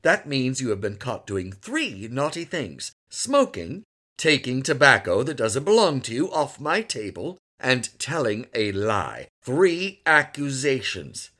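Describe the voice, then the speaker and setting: A man's voice: lowish voice, wildly swooping pitch, takes it slowly, few pauses, fairly bright tone, heavily acted. A man reading the part of a stern lawyer father who scolds his son emphatically, in an audiobook. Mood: lecturing.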